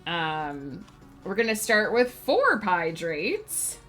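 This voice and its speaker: Slow, low-voiced sniffly woman streamer. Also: very animated, a bit bright, soft background music. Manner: rambling, thinking aloud with many fillers, chatting to viewers. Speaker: author streaming her writing process. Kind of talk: casual livestream chat.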